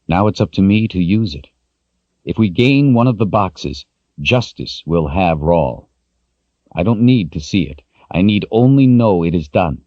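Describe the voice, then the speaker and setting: A man's voice: on the low side, mellow, no echo, highs cut off, voice pushed up, close to an everyday voice. A man voicing an earnest, determined young hero.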